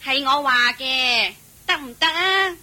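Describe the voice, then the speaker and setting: A woman playing a scornful, scheming young wife in a Cantonese radio drama, sneering and sharp-tongued. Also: high voice, lively intonation, slow pace, exaggerated voice.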